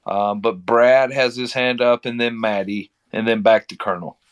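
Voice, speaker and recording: A man's voice: medium pitch, steady tone, normal speed, clear, resonant tone. A man casually hosting and moderating speakers in an online group audio chat.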